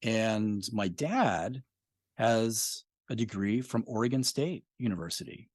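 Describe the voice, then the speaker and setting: Measured middle-aged man, low voice. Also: animated, a little mellow, no echo, clean studio sound. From Spokane Valley, Washington. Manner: thoughtful, measured, some hesitations. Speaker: podcast creator, guest. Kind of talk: casual interview chat.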